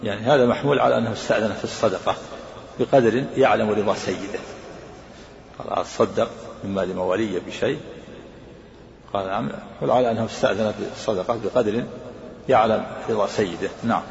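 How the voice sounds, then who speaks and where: Fast gravelly male lecturer in a hall. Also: on the low side, a little hiss or hum. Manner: rapid, explanatory, repeats points. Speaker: scholar teaching hadith. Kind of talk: lecture explaining texts. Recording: echoey hall, dull lo-fi sound.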